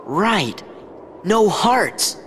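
A man's voice: sits high, very animated, drawn-out words, a bit bright, a little hiss or hum, full character voice. An actor, a man, playing an earnest, brash teenage boy hero in a video game. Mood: scornful. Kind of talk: video game cutscene acting.

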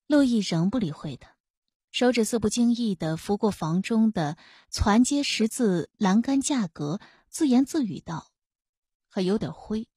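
A woman reading a historical novel as an audiobook narrator, with expressive scripted delivery. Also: a little high, unhurried, husky, dry recording, studio quality.

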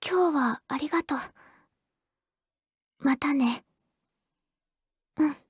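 A woman playing a shy, awkward teenage girl on the phone with her childhood-friend crush, in an anime audio drama. She speaks softly in an intimate, hesitant, stammering way with a breathy, whispery voice. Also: high-pitched, takes it slowly, dry recording, studio quality. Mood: shy, reluctant.

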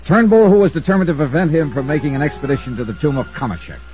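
An American actor playing a brisk, wry freelance insurance investigator in a clipped, businesslike 1950s radio style. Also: male voice, on the high side, darkish tone, natural voice. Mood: brisk, punchy. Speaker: star of a radio detective serial. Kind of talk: 1950s radio acting, first-person narration. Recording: dull old radio recording.

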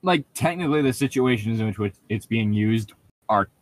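Male co-host, mid-pitched and expressive, casual mocking banter, dry sound. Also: normal speed, no room sound. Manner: sarcastic, mocking, swears freely. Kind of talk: casual comedic group commentary.

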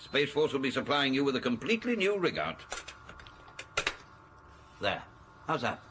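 A man's voice: mid-range voice, sing-song, normal speed, background noise, lightly acted. An actor playing a helpful, courteous space crewman in a radio drama, with precise and formal wording. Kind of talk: 1980s radio drama acting.

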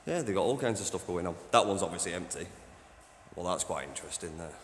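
A man who cleans a train station, giving a casual walking tour on his phone, chatty and rambling, with a deep voice. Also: expressive, fast, slight background noise. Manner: chatty, rambling, says "you know what I mean". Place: old train station toilets and platforms at night. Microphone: handheld phone.

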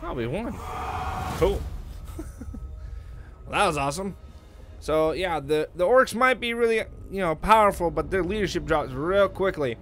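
A man hosting a let's play, giving casual, excitable gameplay commentary and thinking out loud. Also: high voice, measured pace, long pauses, slight background noise.

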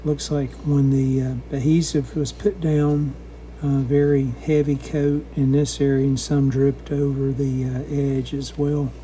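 Mellow, even-toned man narrating a repair. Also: mid-range voice, slight background noise. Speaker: radio restorer narrating a repair. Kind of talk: casual step-by-step repair narration.

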